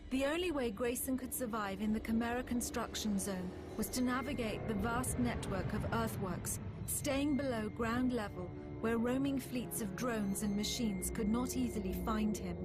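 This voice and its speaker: A woman narrating a video game war story in a serious, measured, scripted style. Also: on the high side, lightly acted. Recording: music behind the narration.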